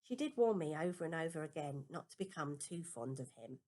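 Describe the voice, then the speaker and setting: A woman reading a children's novel aloud as a first-person story, with expressive, deliberate emphasis. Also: low voice. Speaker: amateur audiobook reader.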